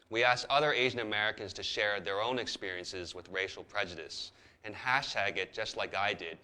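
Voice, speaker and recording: A man in his forties telling his story to camera from an office, measured and plainspoken. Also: fairly low pitch, pushed and bright. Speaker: journalist. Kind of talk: scripted testimony to camera. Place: office by a window.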